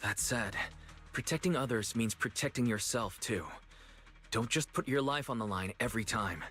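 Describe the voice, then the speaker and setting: A man playing a caring, level-headed young leader in a video game, husky and earnest. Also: mid-range voice, normal speed, a bit bright, faint music behind, lightly acted. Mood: thoughtful. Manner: earnest, thoughtful.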